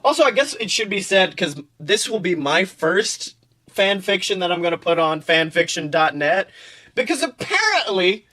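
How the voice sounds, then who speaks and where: A man hosting a podcast in casual, enthusiastic chat, animated and freely swearing. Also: high voice, normal speed. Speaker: podcast host. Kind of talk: casual chat and fan fiction reading.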